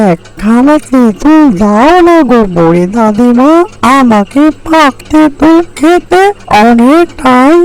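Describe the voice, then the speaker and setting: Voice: high-pitched, full character voice, poor audio. A performer voicing a timid, wheedling, mischievous crow in a cartoon fairy tale, with a pleading manner.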